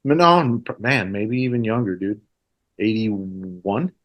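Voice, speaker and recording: A man's voice: lowish voice, expressive, slow, lo-fi sound. Forties guy from mexico, spanish first language, loose rambly chat, lots of "you know". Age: 40s. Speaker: guest. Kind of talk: casual conversation.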